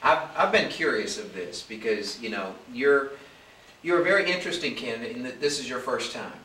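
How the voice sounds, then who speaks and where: A man's voice: medium pitch, expressive, a little fast, bright, forceful tone. A man in his forties from the Midwest, hosting a casual interview with chatty, enthusiastic questions. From American Midwest. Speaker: interview host. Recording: echoey room, distant mic, faint hiss.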